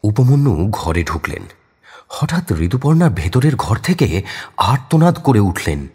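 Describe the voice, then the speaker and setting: A man's voice: on the low side, sing-song, a little slow, darkish tone, breathy edge. A man narrating a crime audio story in a dramatic, scripted style.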